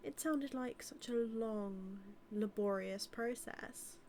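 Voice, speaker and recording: Slow, doting British mad scientist played by a woman. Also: a little high, slight background noise. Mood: doting, pleased. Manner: fond, theatrical, musing, softly dipping into breathy asides. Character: doting female mad scientist villain, motherly toward her monster. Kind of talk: scripted roleplay audio, intimate monologue to listener.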